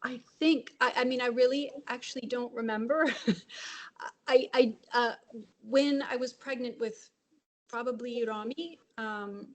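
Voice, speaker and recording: A woman translator answering on a webinar panel over a video call, reflective and thinking aloud. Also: a little high. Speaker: translator and publisher.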